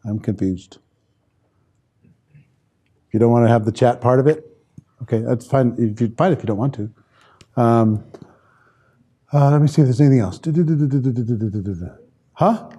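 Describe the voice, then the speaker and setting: Mellow-voiced man teaching informally. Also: mid-range voice, normal speed, pauses often, husky. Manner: conversational, thinking aloud. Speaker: sales trainer leading a live online class. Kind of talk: informal live lesson, reading and answering viewer comments.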